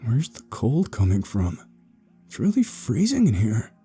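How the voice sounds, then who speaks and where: A man's voice: mid-range voice, wildly swooping pitch, a little slow, mellow, breathy edge, soft background music, pitched above the performer's own voice, exaggerated voice. A man voicing a frightened, stubborn little boy who pleads and protests indignantly in a horror story. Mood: nervous muttering. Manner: pleading, indignant, childish exclamations.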